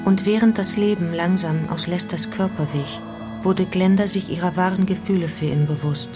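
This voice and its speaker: An actress as the grave narrator of a radio play mystery, telling it in a measured, suspenseful way. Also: female voice, fairly low pitch, steady tone, close to an everyday voice. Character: grave female storyteller of a mystery adventure. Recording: music under the voice.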